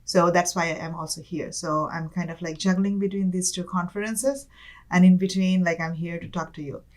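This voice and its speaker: A young woman from Bangladesh, a guest in an interview, talking casually with many fillers and soft laughs. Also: on the low side, fairly even intonation, moderate pace. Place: campus studio.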